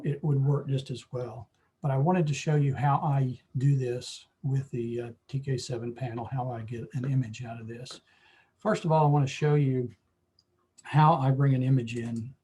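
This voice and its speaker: Hoarse guy walking a photo club through editing software, dark mellow voice, lots of ums. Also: mid-range voice. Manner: relaxed, explanatory, with frequent fillers. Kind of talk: screen-share software tutorial.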